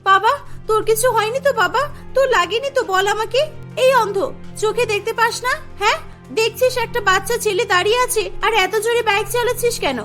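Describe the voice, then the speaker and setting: Woman voicing an anxious, doting mother, very high-pitched. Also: brisk, a bit bright, soft background music. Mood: alarmed, scolding. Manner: emotional, fussing, pleading. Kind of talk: Bengali cartoon voice acting.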